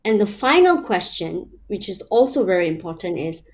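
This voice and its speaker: A woman's voice: mid-range voice, big pitch swings, moderate pace, darkish tone. A woman from Malaysia, a guest in an interview, explaining her ideas step by step in a reflective, casual way with many fillers. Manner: reflective, explains in numbered steps with many "you know" fillers.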